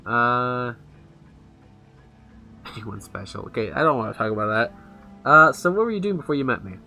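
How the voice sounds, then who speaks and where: Bright-voiced man rambling over a game. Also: medium pitch, animated, normal speed, vocal fry. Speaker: gaming video host. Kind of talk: casual let's play commentary. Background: game music.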